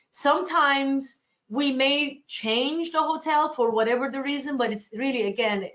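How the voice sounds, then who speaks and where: A woman's voice: high voice, fairly bright tone. A woman from Turkey answering agents' questions in a webinar, restarting sentences often in a reassuring, accommodating way. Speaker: cruise sales presenter. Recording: lo-fi webinar audio.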